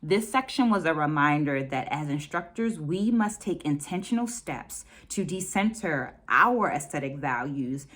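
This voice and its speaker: Low-pitched American woman, deliberate speaker. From United States. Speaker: dance educator hosting a book discussion. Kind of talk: reflective video book review to viewers.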